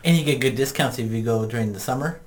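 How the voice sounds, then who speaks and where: A man's voice: mid-range voice, fast talker. A man talking casually as a panelist on a group podcast. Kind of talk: casual chat.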